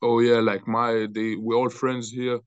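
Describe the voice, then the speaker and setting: A young man from Austria, answering interview questions in loose, halting talk on a video call. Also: medium pitch, level tone, smooth, dry recording. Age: young adult. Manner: loose, halting, repeats words. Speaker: interview guest, football player. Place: bright room indoors. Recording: dull lo-fi video call audio.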